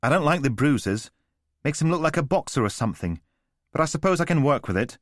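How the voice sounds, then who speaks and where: A man's voice: fairly high pitch, animated, no echo, studio quality, pitched above the performer's own voice, close to an everyday voice. A man voicing a pushy Estuary-accented publicist woman. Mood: brisk. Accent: Estuary English. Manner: brisk and businesslike. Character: brisk young female publicist, pushy, perky.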